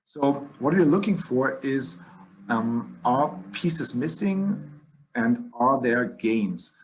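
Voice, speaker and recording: A man's voice: medium pitch, animated, slow pace, highs cut off, a little hiss or hum. A man with a German accent, a physician lecturing on diagnosis over slides, explaining step by step with frequent restarts and fillers.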